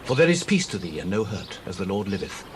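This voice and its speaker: An actor playing a loyal, earnest prince in a Bible audio drama, speaking in solemn, emphatic, archaic oratory. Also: male voice, sits low, sing-song, fast, a little hiss or hum. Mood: earnest, measured. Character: loyal, earnest prince, devoted sworn friend.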